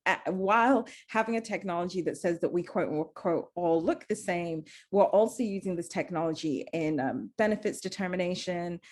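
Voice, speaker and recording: A woman's voice: fairly low pitch, darkish tone. A woman from Zambia, speaking earnestly as a panelist and often restarting her sentences. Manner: earnest, searching, many restarts. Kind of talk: panel discussion.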